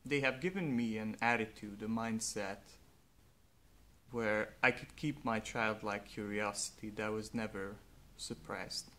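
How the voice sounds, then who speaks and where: A young man, a native Hungarian speaker, speaking slowly and earnestly in a reflective personal monologue with faint background noise. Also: fairly low pitch, steady tone, fairly bright tone. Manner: slow, thoughtful, earnest. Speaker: vlogger.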